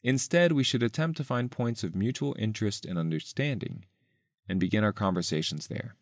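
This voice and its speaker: Male article reader, fairly deep, careful scripted delivery, dry studio-style sound. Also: brisk, no room sound. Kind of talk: scripted narration, reading an essay aloud.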